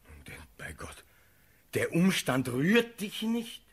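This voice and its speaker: An actor playing a prince's loyal, anxious friend in a radio play, speaking verse in an earnest, measured, husky voice. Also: male voice, on the high side, huge pitch swings, exaggerated voice. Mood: concerned.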